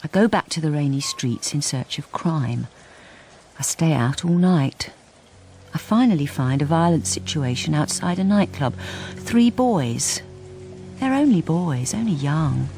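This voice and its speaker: An actress playing a lonely, downtrodden wife in a radio drama, with a bleak, understated delivery. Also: female voice, sits low, expressive, unhurried, warm tone, a little hiss or hum. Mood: bleak, haunted. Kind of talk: first-person radio drama narration.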